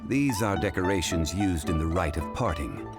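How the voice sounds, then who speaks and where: A composed, learned gentleman speaks in a very deep voice, formal and measured with a lively lilt. Game music plays under him. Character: learned, composed man, funeral parlor consultant. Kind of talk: game voice acting.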